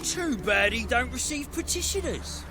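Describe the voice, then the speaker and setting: An actor playing a rough, unwelcoming guard in a video game, blunt and colloquial. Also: male voice, extremely high pitch, expressive, moderate pace, over music. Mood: gruff, wary. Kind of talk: video game character acting.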